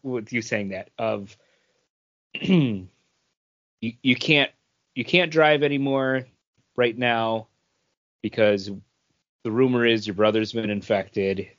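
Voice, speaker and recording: Slow-paced man in dull lo-fi audio. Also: medium pitch, fairly bright tone. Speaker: podcast co-host. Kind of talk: casual comic book review chat.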